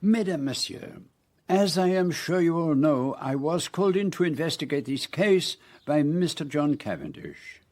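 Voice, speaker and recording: An actor playing a fussy, courteous Belgian detective in a radio drama, with a French-speaking Belgian accent and precise, formal speech. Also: male voice, fairly high pitch, big pitch swings, normal speed, a little mellow. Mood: measured, expository. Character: fussy, theatrical middle-aged Belgian male detective.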